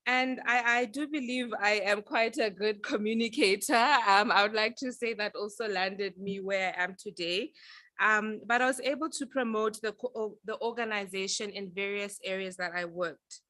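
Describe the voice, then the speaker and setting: A young woman from Zambia talks through her career path at a panel event in an earnest, reflective way. Her voice is bright and forward, recorded close and dry with almost no room sound. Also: mid-range voice, steady tone, moderate pace, no echo. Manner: earnest, reflective, with some filler ums. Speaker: panel speaker. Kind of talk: prepared personal talk at a panel.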